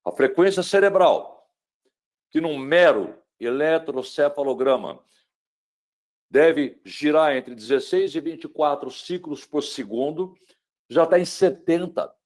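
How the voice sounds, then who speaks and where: A middle-aged man from Brazil giving a webinar lecture on mental wellbeing, dramatic, swinging between loud emphasis and hushed tones and asking the listener rhetorical questions. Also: fairly high pitch, slow.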